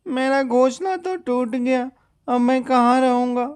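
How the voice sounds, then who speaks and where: A man's voice: very high-pitched, darkish tone, clear, resonant tone, no echo, clean studio sound. A man voicing a kindly, protective male crow in a cartoon, speaking in an earnest, brotherly manner. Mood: dismayed. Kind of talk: Hindi moral-story cartoon acting.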